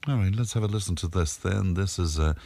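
A man hosting a radio interview, asking relaxed and encouraging questions. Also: low voice, fast talker, vocal fry, no echo. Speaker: radio host.

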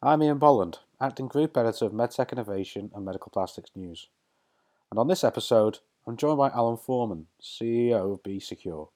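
A man with a mid-pitched voice hosts an interview podcast. He speaks close to the microphone in a dry space with no room sound. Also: dark tone, dry recording. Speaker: podcast host. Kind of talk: scripted introduction and interview questions.

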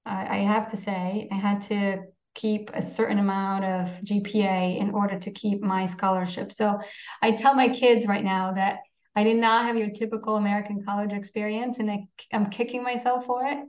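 A woman's voice: medium pitch, steady tone, moderate pace, a little mellow. Businesswoman in her 40s, candid, home office, dull roomy call audio. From Poland. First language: Polish. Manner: candid, dry humor, frequent "uh" fillers. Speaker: guest, company CEO. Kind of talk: casual interview conversation. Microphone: video call.

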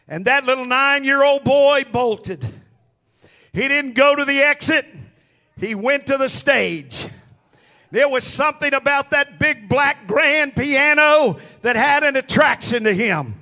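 A male preacher with a strikingly high, piercing voice. He preaches in unhurried, drawn-out phrases, pressing each point and calling on the congregation to respond. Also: pushed and bright. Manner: emphatic, calls on the congregation to respond. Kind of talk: sermon to a congregation.

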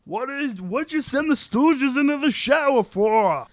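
A man's voice: very high-pitched, lively intonation, a little slow, no room sound, clean studio sound. A man playing a whiny, put-upon sitcom husband and team captain in an amateur table read. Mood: grumbling.

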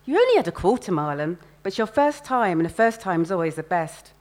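A woman's voice: fairly low pitch, very animated, brisk. A woman voicing a confident, teasing teenage London girl with a breezy, knowing, colloquial manner in a novel reading. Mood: breezy, dismissive.

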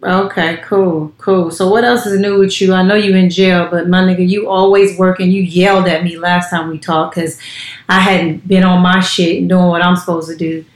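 Host: woman with a lowish voice, relaxed and playful, in an echoey room. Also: normal speed. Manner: laid-back, playful, laughs easily. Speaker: podcast host. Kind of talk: casual interview chat.

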